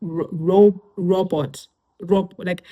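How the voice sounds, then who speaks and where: A woman's voice: fairly low pitch, steady tone, slow, slightly rough, phone-quality sound. A young woman with a Kenyan accent, chatting casually as she reacts to online posts and mixing in Swahili phrases. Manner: chatty, switches into Swahili phrases, lots of fillers.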